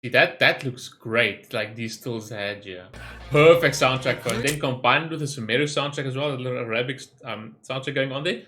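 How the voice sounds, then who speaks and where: A man's voice: mid-range voice, fairly quick, pushed and bright. A man hosting a gaming reaction video, talking informally and thinking aloud as he rambles through his commentary. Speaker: gaming reaction channel host.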